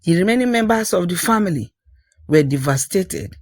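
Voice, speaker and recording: A storyteller narrating a scripted folktale, phrasing things deliberately and repeating for emphasis. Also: medium pitch, animated, dry recording.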